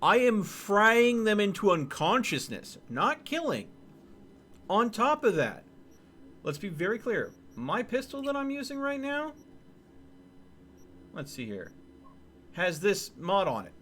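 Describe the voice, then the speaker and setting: A man commenting on his gameplay for a live audience, joking and replying to chat. His voice sits high and swings widely in pitch. A faint hiss of noise lies under his desk mic. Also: takes it slowly, long pauses. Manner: animated, joking, talks to chat. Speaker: streamer.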